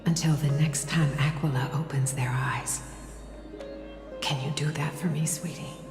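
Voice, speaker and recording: A hushed, low-voiced gentle mother. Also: fairly even intonation. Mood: tender, soothing. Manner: tender, slow, hushed and breathy. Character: gentle, loving mother and holy maiden soothing her child. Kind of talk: dramatic game cutscene acting. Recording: echoey long reverb under clear music.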